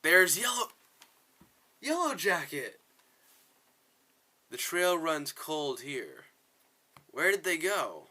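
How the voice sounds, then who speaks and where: A man acts out a wisecracking game superhero who is excitable and a bit of a bumbler. The voice is bright and forceful, loud and theatrical, with a flippant edge. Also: on the high side, slow, pitched above the performer's own voice, heavily acted. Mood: startled, loud. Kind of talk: reading game story dialogue aloud.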